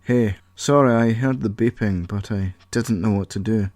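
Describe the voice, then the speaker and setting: A man's voice: fairly low pitch, moderate pace, a little mellow, no echo, close to an everyday voice. A man voicing an easygoing young man who talks in a hesitant, apologetic way. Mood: sheepish. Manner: apologetic, hesitant, laid-back.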